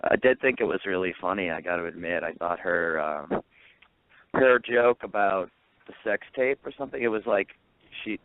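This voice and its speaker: A man's voice: low-pitched, lively intonation, moderate pace, no echo. A man, a comedian guest, chatting in a rambling, self-mocking way with lots of fillers. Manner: rambling, self-mocking jokes with many likes. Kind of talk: casual podcast chat. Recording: dry tinny phone-like line.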